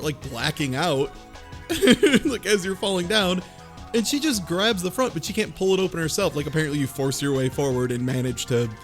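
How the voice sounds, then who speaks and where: A man in a casual group discussion, excitably retelling a game story with swearing and acted-out dialogue. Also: on the high side. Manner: excitable, profane, acts out dialogue. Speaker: podcast panelist. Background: background music.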